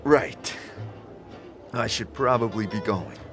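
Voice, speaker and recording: A man playing a reserved, curious wanderer in a fantasy audio drama, asking plain, halting questions. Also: on the low side. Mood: polite, overwhelmed. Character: reserved, curious male wanderer seeking answers. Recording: music behind the voice. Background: music.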